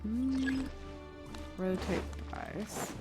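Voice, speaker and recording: A woman's voice: a little high, lively intonation, very slow. A woman game streamer chatting casually as she plays, rambling and thinking aloud. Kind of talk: casual livestream gameplay chat. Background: game music.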